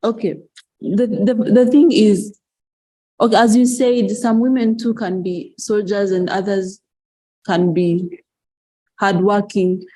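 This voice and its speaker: Mellow-voiced woman student asking questions. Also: medium pitch, fairly even intonation, takes it slowly, long pauses, poor audio. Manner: tentative, apologetic, searching for words.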